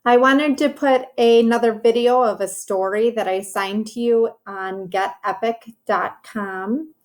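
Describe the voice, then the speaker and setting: Female teacher for a children's read-aloud: higher-pitched, unhurried, simple instructive wording with encouraging asides. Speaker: teacher reading a story to children. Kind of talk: read-aloud lesson for young children, with commentary on pictures.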